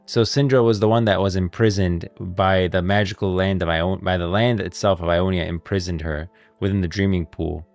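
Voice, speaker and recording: A man's voice: deep voice, fairly even intonation, moderate pace, hardly any pauses, soft background music. A man streaming casual game lore commentary, thinking aloud informally into a close microphone.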